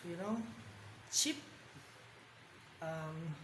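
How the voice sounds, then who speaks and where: Young Indonesian-accented man, casual vlogger, slow and halting, recorded in a roomy, noisy space. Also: a little high, fairly bright tone. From Bandung, West Java, Indonesia. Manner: hesitant, many ums and pauses. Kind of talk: casual spoken vlog. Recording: echoey room with background noise.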